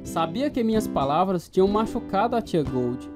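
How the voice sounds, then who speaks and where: A troubled, fearful man tells the story of his youth as dramatized radio narration. He is earnest and emotive, with plucked guitar music clearly behind the voice. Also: high-pitched, very animated, moderate pace. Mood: somber, reflective.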